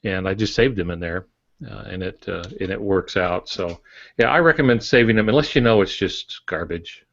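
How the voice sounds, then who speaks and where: A man co-hosting a writing podcast as a published author, telling stories in a relaxed way with many pauses. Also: low-pitched, vocal fry, no room sound, muffled recording. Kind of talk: casual writing podcast chat.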